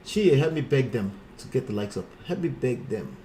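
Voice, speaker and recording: A man in his forties with a Nigerian accent, teaching a live-streamed online lesson in a casual, chatty way from a small dark room, wearing headphones at a desk mic. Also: medium pitch, expressive, normal speed, slight background noise. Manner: casual, chatty, repeats himself, pleads with viewers. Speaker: online marketing coach.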